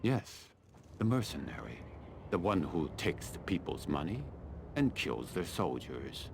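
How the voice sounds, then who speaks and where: A stern, calculating army colonel from China for a video game. His voice is extremely deep with a gravelly creak. He speaks formally and deliberately, with haughty authority, over clear rumbling noise. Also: big pitch swings, exaggerated voice. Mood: measured, imperious. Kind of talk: scripted video game dialogue.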